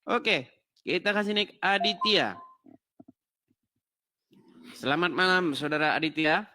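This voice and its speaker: A man's voice: sits high, slow pace, noisy background. A man hosting a live call-in debate, laughing and mocking as he talks over his callers. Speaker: host of a live interfaith debate stream.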